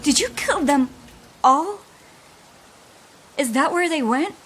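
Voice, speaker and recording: Haunted, slow-speaking Irish passenger. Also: female voice, high-pitched, expressive, background noise. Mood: shaken, wary. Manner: halting, intense confession. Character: haunted, guilt-ridden woman passenger, weary and defiant. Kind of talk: audio drama acting.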